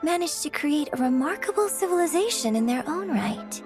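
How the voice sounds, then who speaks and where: An actress playing a refined, modest opera writer in a video game, gracious, formal and thoughtful. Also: female voice, high-pitched, expressive, normal speed. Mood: polite, modest. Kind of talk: scripted video game dialogue. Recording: music behind the voice.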